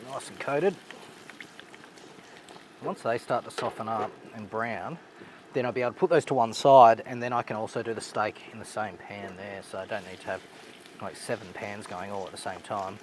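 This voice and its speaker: A man with an Australian accent vlogging casually at an outdoor bush campsite while he cooks, rambling and relaxed, a little snuffly from a blocked nose. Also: fairly low pitch, moderate pace, background noise. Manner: relaxed, rambling, laid-back slang. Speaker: overlanding vlogger. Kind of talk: casual outdoor vlog narration while cooking.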